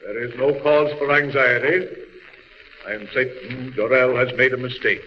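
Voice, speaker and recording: An actor playing a pompous council leader, speaking in a formal, declamatory manner of 1930s radio drama. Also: male voice, medium pitch, steady tone, normal speed, natural voice. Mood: dismissive reassurance. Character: pompous male council leader, calming and dismissive. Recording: echoing, dull, very noisy vintage radio recording.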